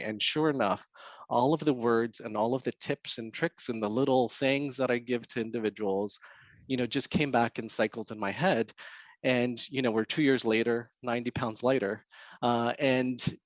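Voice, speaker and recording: A man's voice: mid-range voice, dry recording. A Canadian man whose first language is Portuguese, a financial counselor speaking as a guest, earnest and thinking aloud with lots of fillers. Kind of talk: guest in an interview.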